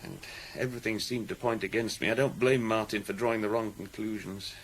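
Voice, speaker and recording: An actor in a British radio drama playing an anxious accused man, speaking in a halting, troubled, earnest way. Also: on the low side, fairly even intonation, a little hiss or hum, close to an everyday voice, rough recording. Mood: hesitant, subdued. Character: anxious accused man protesting his innocence.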